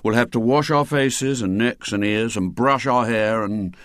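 A man's voice: medium pitch, a little slow, a bit bright, no echo, close to an everyday voice. Man reading a sighing, resigned boy, dry close read. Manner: resigned, dwelling with relish on details.

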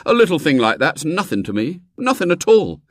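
A man's voice: on the high side, very animated, brisk, a bit bright, dry recording, voice pushed up. Man doing a cocky blustery schoolboy, kinda raised voice, dry close sound. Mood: blustering. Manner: blustering, boastful. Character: swaggering schoolboy, cocky but soft-hearted.

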